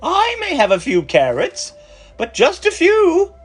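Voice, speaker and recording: A man's voice: very high-pitched, wildly swooping pitch, measured pace, faint music behind, pitched above the performer's own voice, full character voice. A man voicing a coy, exclaiming village woman in a children's storybook. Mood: surprised, coy. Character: hesitant village woman offering a few carrots.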